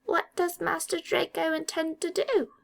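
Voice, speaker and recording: A woman voicing a frightened, servile male house elf in an audiobook, with a quavering, halting delivery. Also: very high voice, level tone, creaky, voice pushed up, exaggerated voice. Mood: suspicious. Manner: slow, halting, fearful delivery.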